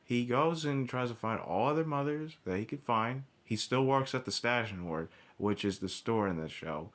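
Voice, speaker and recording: Man in an informal, halting rant. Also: mid-range voice, lively intonation, fairly bright tone. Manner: halting, with repeated restarts and backtracking; blunt, heavily profane. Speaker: cartoon episode reviewer. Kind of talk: informal ranting commentary.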